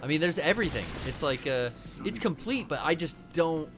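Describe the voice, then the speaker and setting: Casting: American male stream co-host, slightly high voice, loose and sweary chat, light music underneath. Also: expressive, fairly quick. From United States. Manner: informal, frequent swearing. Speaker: livestream co-host. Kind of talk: casual chat. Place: small cluttered room indoors.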